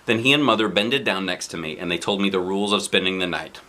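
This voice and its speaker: A man reading a children's book aloud as an expressive dad, with dramatic emphasis. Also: low-pitched, fast talker. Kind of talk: animated storybook narration.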